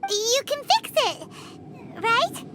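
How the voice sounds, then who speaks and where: A woman voicing a tiny, childlike, excitable fairy companion in an anime-style game, chirpy and blunt with a squeaky voice. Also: slow, very noisy, heavily acted. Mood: questioning.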